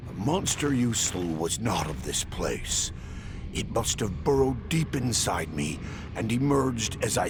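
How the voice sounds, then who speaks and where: Comically grandiose warrior, video game cutscene, deep voice, slow theatrical delivery, hammy archaic phrasing. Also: male voice, noisy background, heavily acted. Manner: hammy, archaic grandiose speech with sudden outbursts. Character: boastful theatrical wandering swordsman, bombastic and comically grandiose.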